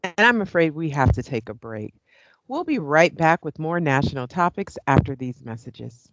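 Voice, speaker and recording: A woman's voice: low-pitched, sing-song, moderate pace, dry recording, lo-fi sound. A woman playing a polite, professional current-affairs host in an audio play. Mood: casual, friendly. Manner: courteous, professional.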